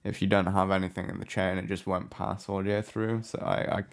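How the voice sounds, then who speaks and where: A man giving a casual software tutorial in a hesitant, offhand, unscripted way. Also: deep voice, little pitch movement, a little fast, creaky. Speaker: music production tutorial host. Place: indoor room with a window.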